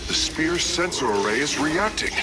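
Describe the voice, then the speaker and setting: A man playing an earnest, deferential alien engineer in a video game, speaking in a formal, measured, technical way. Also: fairly high pitch, animated, very noisy, natural voice. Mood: focused, informative. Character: earnest, deferential male alien engineer serving his commander. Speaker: engineer and battle advisor.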